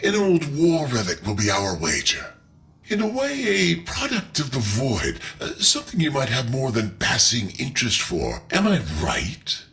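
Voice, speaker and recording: A man's voice: medium pitch, huge pitch swings, normal speed, creaky, a bit bright, exaggerated voice. A man playing a shrewd, eloquent scholarly strategist in a video game, speaking in formal, theatrical phrases. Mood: sly, persuasive. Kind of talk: video game character acting.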